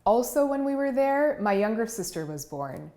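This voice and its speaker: A woman's voice: on the high side, moderate pace. A woman who grew up in Cameroon and Iowa, giving a personal storytelling speech as a keynote speaker. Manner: warm storytelling, vivid and expressive.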